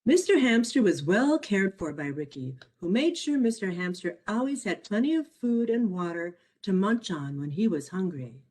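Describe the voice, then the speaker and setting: A woman reading her own children's picture book aloud as a storyteller, in an expressive, measured way. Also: medium pitch. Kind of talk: scripted storybook narration for children.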